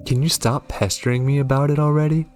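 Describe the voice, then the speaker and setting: A man's voice: mid-range voice, fairly even intonation, fast, darkish tone, soft background music, pitched above the performer's own voice, close to an everyday voice. A man voicing a defensive, secretive scientist husband in a horror game, curt and irritated.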